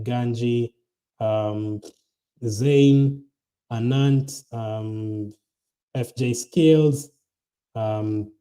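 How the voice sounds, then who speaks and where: A man's voice: medium pitch, dark tone, low-quality recording. A young man hosting a casual fantasy football stream, rambling with many ums and restarts, tired late at night. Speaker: fantasy football channel host. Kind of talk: casual livestream chat reading viewer comments.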